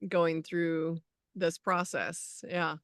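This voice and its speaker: A woman's voice: lowish voice, little pitch movement, drawn-out words, clean tone, dry recording, clean studio sound. A middle-aged woman co-hosting a spiritual talk show in a casual, effusive, agreeable chatting style. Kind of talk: casual chat.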